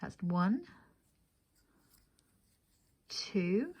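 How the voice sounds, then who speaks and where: A woman's voice: fairly low pitch, sing-song, drawn-out words, a little mellow, breathy edge. A woman hosting a craft tutorial, explaining each step in a semi-casual, instructional way and counting as she goes. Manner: explanatory, counting steps aloud. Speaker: crochet tutorial host.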